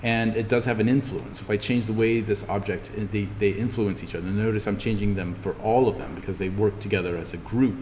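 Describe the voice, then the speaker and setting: A man teaching an informal in-class software tutorial, thinking aloud haltingly as he demonstrates. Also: lowish voice, steady tone. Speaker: instructor teaching 3D animation software. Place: classroom, indoors. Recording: echoey room with background noise.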